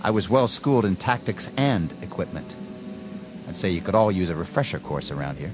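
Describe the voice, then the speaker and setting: An actor playing an arrogant, inexperienced army lieutenant in a radio drama, with a clipped, formal, commanding manner. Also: male voice, sits low, sing-song, a little fast. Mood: scornful. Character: arrogant, rigid, inexperienced young army lieutenant, eager for combat. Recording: dramatic music under the dialogue.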